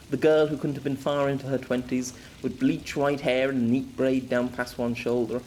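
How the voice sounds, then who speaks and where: A man narrating a story as an amateur audiobook reader, theatrical, wry and expressive. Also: medium pitch, slight background noise, poor audio.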